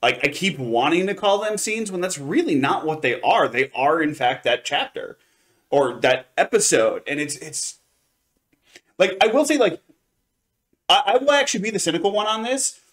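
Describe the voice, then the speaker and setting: Slightly high-voiced man giving casual commentary. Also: animated, normal speed, fairly bright tone. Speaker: co-host of an anime review show. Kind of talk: casual chat, review commentary.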